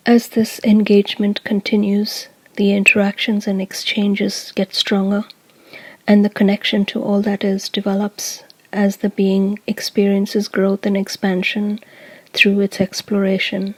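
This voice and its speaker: A woman reads a scripted spiritual message in a formal, solemn way. Her pitch stays extremely flat and steady from phrase to phrase, with measured delivery. The sound is dry and close, with very little room around it. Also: no echo. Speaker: channeler speaking as a group of light beings. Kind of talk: scripted spiritual discourse for guided meditation.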